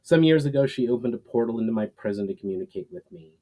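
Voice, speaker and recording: A man's voice: mid-range voice, animated, fast talker, dark tone, close to an everyday voice. A man voicing a weary, repentant fallen warlord in a fantasy story, with formal, earnest, world-weary phrasing. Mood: reflective.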